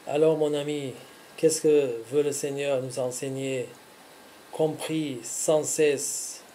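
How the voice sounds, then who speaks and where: A man speaking earnestly in short, deliberate phrases, as a religious host giving scripted devotional teaching. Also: fairly high pitch, level tone, warm tone, a little hiss or hum, poor audio.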